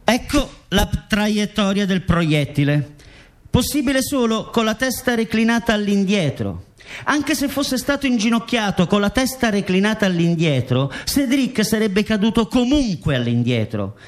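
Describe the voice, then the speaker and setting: A man's voice: high voice, sing-song, moderate pace. A man reading scripted documentary radio drama narration in a formal, declarative way.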